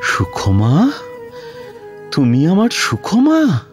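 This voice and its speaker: A man's voice: mid-range voice, very animated, slow. A man playing a kind young doctor of about thirty in a Bengali audio drama, earnest and hesitant, with a Kolkata accent. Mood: moved, earnest. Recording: music behind the voice.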